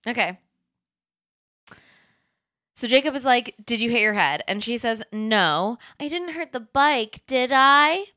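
A woman's voice: fairly high pitch, animated, measured pace, pauses often, pushed and bright. A congested woman podcast host recapping a book in casual, profane, sarcastic chat.

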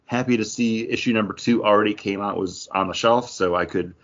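A man's voice: on the low side, brisk, a bit bright, dull audio. A man hosting a podcast, giving a casual review in a conversational way with slangy asides. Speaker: podcast host.

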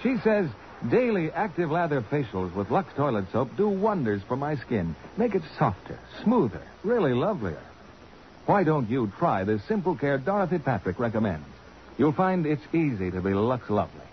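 A man's voice: a little high, a little hiss or hum. A man working as a radio announcer, reading scripted copy in a 1950s commercial style. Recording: dull vintage radio recording. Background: music.

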